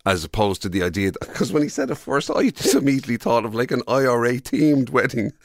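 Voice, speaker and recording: Animated Irish man in a dry studio. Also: medium pitch, fast talker, no echo. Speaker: radio show co-host. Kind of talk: casual radio banter.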